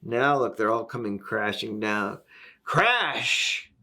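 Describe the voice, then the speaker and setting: A man reading a picture book aloud to young children, gently explaining things and asking the listener questions. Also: medium pitch, animated, slow. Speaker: volunteer story reader.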